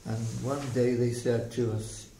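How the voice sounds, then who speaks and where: An older man from South Wales with a slight Welsh accent and a gravelly voice, telling his life story in a halting, reflective way for an oral history interview. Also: mid-range voice, little pitch movement, warm tone. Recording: echoey room, faint background noise.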